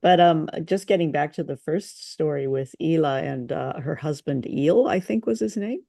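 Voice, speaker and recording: A woman co-hosting a podcast, chatting informally in a halting way. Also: sits low, creaky, dark tone, no room sound, clean studio sound. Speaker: podcast co-host. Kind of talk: casual chat, radio drama discussion.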